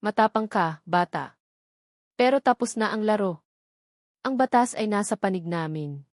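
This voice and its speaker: A woman's voice: medium pitch, lively intonation, moderate pace, no echo, studio quality, natural voice. A woman voicing an arrogant, desperate male villain in a dramatic story, taunting and threatening. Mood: smug.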